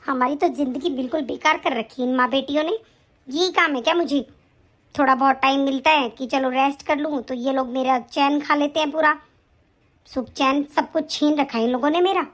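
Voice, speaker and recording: A bossy middle-aged aunt who nags and scolds without pause, her high voice running quick and sharp, as a woman plays her. She keeps up a steady stream of complaint. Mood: grumbling. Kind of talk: comic family skit acting.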